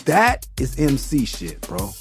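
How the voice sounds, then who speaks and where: A man's voice: mid-range voice, slow pace, music in the background. A man from Los Angeles with a nasal voice, hosting a podcast and telling loose, profane stories about making his album. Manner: loose, profane, animated retelling with quoted voices. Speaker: podcast host and rapper.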